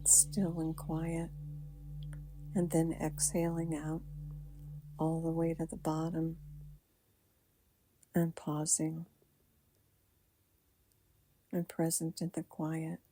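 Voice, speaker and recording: A woman guiding a breathing meditation, speaking softly and soothingly with long pauses. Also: deep voice, monotone, rough recording. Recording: music playing behind the voice. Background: soft background music.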